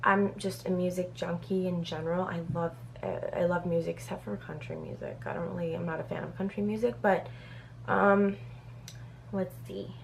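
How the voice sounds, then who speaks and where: Chatty gravelly-voiced woman in her thirties. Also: on the low side, lively intonation, normal speed, slight background noise. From Brazil. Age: 30s. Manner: chatty, reads questions off a paper, many "um"s. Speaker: beauty vlogger. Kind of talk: casual get-to-know-me Q&A.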